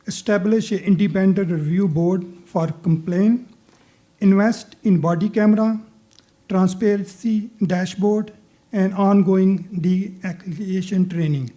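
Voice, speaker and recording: A man's voice: sits high, mellow. A man with a Pakistani accent speaking informally as a candidate at a forum, in plain non-native phrasing. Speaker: city council candidate. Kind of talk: informal forum speech with anecdotes.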